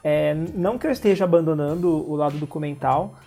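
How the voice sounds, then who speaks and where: Relaxed Brazilian man, mellow voice. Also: fairly high pitch, quick pace, gravelly, faint music behind. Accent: Brazilian Portuguese. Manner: relaxed, chatty, hesitant restarts, laughs often. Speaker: interview guest, filmmaker. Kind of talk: casual interview talk.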